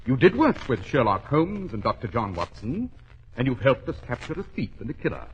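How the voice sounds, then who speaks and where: Male actor as shrewd detective, smug, articulate, swooping intonation, medium pitch, old noisy radio recording. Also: very animated, brisk, highs cut off, lightly acted. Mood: earnest, commanding. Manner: articulate, superior, commanding. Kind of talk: 1940s radio acting.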